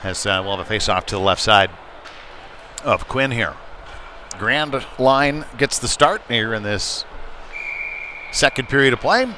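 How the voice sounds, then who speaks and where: A man's voice: mid-range voice, lively intonation, measured pace, long pauses, bright, forceful tone. Man calling hockey over rink noise. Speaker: play-by-play sports commentator. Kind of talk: live ice hockey commentary. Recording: clearly noisy sports venue background.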